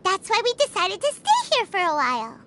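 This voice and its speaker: A woman voicing a tiny, excitable floating female companion creature in a video game, bouncy and eager. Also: very high voice, fairly quick, a little hiss or hum, heavily acted. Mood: earnest. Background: game background music.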